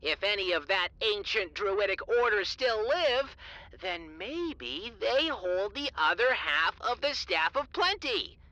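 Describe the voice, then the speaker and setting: A woman acting as game master in a comedy audio drama, narrating a tabletop game in a slow, drawn-out descriptive way. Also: medium pitch, sing-song, pushed and bright, no echo, dull audio, heavily acted.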